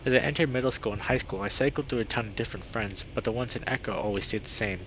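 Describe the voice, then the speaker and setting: Lo-fi recording of a higher-voiced man. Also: quick pace. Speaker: video game streamer. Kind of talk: reading visual novel aloud with excited reactions. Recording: dull lo-fi mic with clear background noise.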